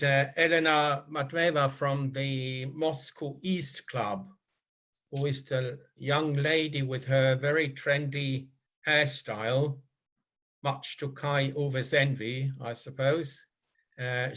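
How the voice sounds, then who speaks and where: Slow, measured older man. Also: medium pitch, a bit bright. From Switzerland. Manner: measured, polite, with frequent filler pauses. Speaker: guest speaker. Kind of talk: club presentation over a video call. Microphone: video call.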